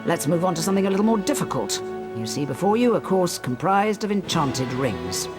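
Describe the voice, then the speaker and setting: An actor playing a strict but fair flying instructor who gives formal, commanding instructions. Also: male voice, sits high, big pitch swings, a little fast. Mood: brisk, stern. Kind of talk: video game voice acting. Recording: loud game music behind. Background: loud game music.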